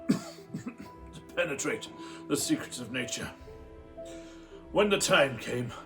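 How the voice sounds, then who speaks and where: A man's voice: medium pitch, lightly acted. A man acting a haunted, formal scientist telling his tragic tale in a radio drama. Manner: formal, brooding, theatrical.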